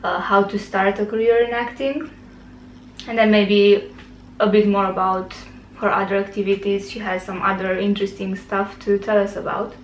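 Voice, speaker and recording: A woman's voice: mid-range voice, flat delivery, moderate pace. Young Romanian-accented woman hosting an interview. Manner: friendly, frequent mm-hmms. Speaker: host, YouTuber. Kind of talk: casual interview. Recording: echoey room, faint background noise.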